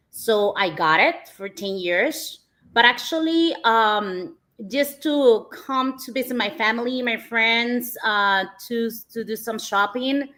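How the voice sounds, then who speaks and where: A woman's voice: mid-range voice, sharp tone. A woman from Peru whose first language is Spanish, telling her own story as a guest in a friendly, eager way. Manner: friendly and eager, many fillers.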